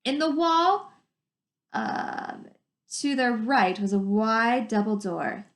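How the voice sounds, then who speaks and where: A woman teacher reading a story to children in an animated, emphatic way, adding her own commentary. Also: on the high side, takes it slowly. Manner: animated, emphatic, stretches descriptive words. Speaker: teacher reading aloud to pupils. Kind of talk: expressive storybook read-aloud with commentary to children. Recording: echoey room sound.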